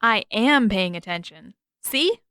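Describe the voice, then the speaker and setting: A woman's voice: a little high, lively intonation, slow pace, sharp tone, clear, resonant tone, dry recording, studio quality, exaggerated voice. Woman as an earnest young boy, slightly raised voice. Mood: defensive. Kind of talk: character voice in a fan fiction reading.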